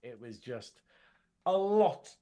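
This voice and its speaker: Hoarse northern english guy co-hosting a podcast, rambly, laughs a lot. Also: mid-range voice, sing-song, takes it slowly, dark tone. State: hoarse, lost voice. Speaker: podcast co-host. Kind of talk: casual chat.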